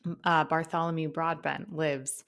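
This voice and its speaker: A woman's voice: low-pitched, level tone, normal speed, gravelly, a bit bright. A woman from the United States hosting a friendly interview over a video call, enthusiastic and laughing often. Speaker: podcast host.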